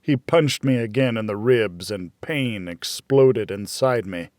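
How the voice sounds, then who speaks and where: A man reading a dark first-person thriller as an audiobook, in a measured, dramatic storytelling manner. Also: on the low side, no echo, studio quality. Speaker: audiobook narrator.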